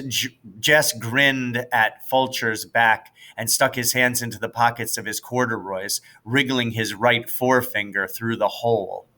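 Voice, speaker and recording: A man's voice: medium pitch, bright, forceful tone. An American man reading a children's novel aloud as scripted narration. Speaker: audiobook reader, teacher.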